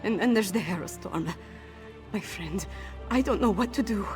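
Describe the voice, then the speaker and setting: A woman's voice: medium pitch, normal speed, close to an everyday voice. Woman doing a young princess in a fantasy game, earnest n firm, takes charge, music playing behind. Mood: troubled, resolute. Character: determined young princess, burdened but commanding. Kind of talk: fantasy video game voice acting.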